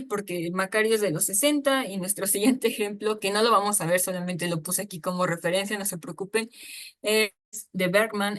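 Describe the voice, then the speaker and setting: Woman lecturer, chatty, mid-pitched, informal, lots of asides. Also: a bit bright. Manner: chatty, with frequent fillers and asides. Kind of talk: informal online talk on storytelling.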